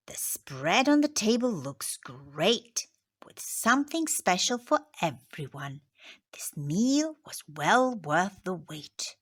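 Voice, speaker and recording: A woman teacher reading a picture book aloud to children, expressive and dropping into soft whispers on headings and asides. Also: mid-range voice. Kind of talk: children's story read-aloud.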